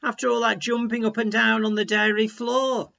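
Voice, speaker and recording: A man's voice: high-pitched, flat delivery, gravelly, bright, forceful tone, highs cut off, exaggerated voice. Man voicing a weary, whining little storybook frog. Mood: weary, hopeless. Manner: plaintive, drawn-out complaining. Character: weary, defeatist little male frog, complaining.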